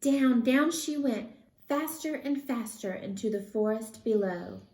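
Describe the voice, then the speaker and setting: A woman reads a children's story aloud. Her narration is expressive and takes its time, with slow phrasing. The sound is roomy and echoing. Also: fairly high pitch. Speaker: storybook reader.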